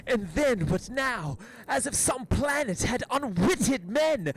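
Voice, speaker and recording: A man's voice: extremely high pitch, expressive, takes it slowly, heavily acted. A man playing a soldier who feigns honest grief and reluctance, in theatrical Shakespearean style. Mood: feigned grief.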